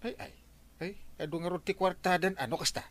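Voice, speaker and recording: A man's voice: sits high, fairly even intonation, brisk, fairly bright tone. An actor with an Ilocano accent playing an exasperated, exclaiming village man in a radio comedy drama.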